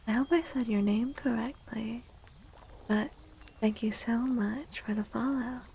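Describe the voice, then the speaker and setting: A woman livestreamer speaking in a soft, breathy, often whispery ASMR style, gentle and soothing, close to the microphone. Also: fairly high pitch, steady tone, measured pace, a little hiss or hum. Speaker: livestreamer reading tarot for viewers.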